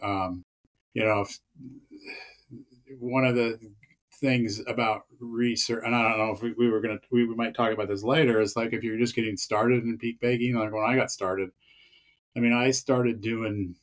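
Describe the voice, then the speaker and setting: A man's voice: mid-range voice, flat delivery, moderate pace. A man chatting as a podcast guest, rambling with many fillers and restarts. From Pacific Northwest of the US. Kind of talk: casual interview chat.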